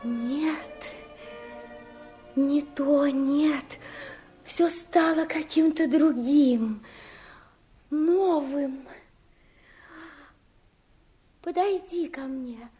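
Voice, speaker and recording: Young fairy-tale princess: very high voice, unhurried, earnest and emotional, naive and loving. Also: female voice, dark tone. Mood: hushed wonder. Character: tender, naive young princess in love with gardener. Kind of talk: fairy-tale radio play acting.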